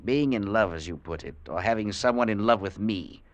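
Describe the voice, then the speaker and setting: An actor playing a brusque, coldly logical, misanthropic professor in an old-time radio drama, with clipped, imperious delivery. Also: male voice, on the low side, fairly quick, no echo, lo-fi sound, natural voice. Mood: coldly dismissive. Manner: clipped, blunt, imperious, dismissive.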